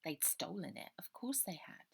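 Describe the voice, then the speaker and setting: A woman's voice: fairly low pitch, very animated, normal speed, gravelly, slight background noise. English woman narrating a children's novel. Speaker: author reading her own children's novel. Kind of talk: scripted story narration.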